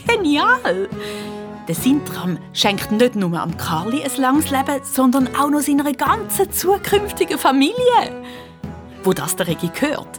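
A woman with a Swiss German accent narrating a children's audio drama in an animated, expressive storytelling style. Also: high voice, a little slow. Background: loud music with violin.

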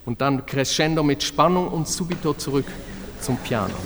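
An actor playing a demanding, easily exasperated orchestra conductor in a radio play, giving clipped, commanding instructions. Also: male voice, on the high side, normal speed, fairly bright tone, a little hiss or hum. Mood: exacting.

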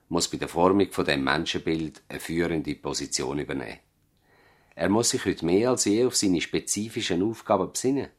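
An actor with a Swiss German accent playing a dignified, idealistic family doctor in a 1970s radio play, solemn and deliberate. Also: male voice, deep voice.

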